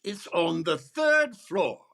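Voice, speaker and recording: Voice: mid-range voice, big pitch swings, vocal fry, fairly bright tone, heavily acted. A performer playing a sarcastic, insolent, mocking department store floorwalker in a 1940s-style radio comedy. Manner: mocking, drawn-out.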